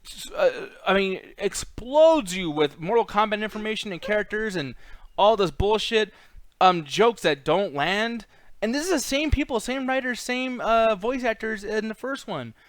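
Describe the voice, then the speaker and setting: Clear, higher-pitched man, casually ranting. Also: animated, normal speed, no room sound. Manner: blunt, opinionated. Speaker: co-host of a video game movie chat show. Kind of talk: casual ranking chat, heavy swearing.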